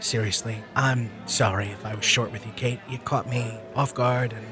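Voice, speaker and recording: A man's voice: medium pitch, fairly even intonation, moderate pace, lightly acted. A man acting an apologetic, earnest and awkward character in casual audio drama dialogue, hesitant and sincere. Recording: music behind the voice.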